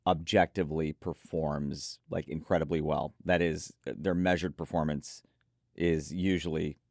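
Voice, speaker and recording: A man's voice: a bass voice, fairly even intonation, a little slow, gravelly, darkish tone, dry recording, clean studio sound. A man co-hosting an audio gear preview, chatting enthusiastically and emphatically about products. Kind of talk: enthusiastic product review chat.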